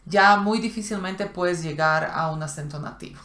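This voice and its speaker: A woman co-hosting a casual conversation, telling stories with pauses and restarts. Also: on the low side, fairly bright tone. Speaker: co-host of a language channel. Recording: roomy echo.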